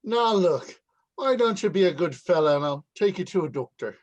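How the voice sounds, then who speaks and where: A man plays a commanding beat cop in a 1940s-style radio play. The voice is high for a man, loud and brisk in its authority. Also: lively intonation. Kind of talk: 1940s radio drama acting.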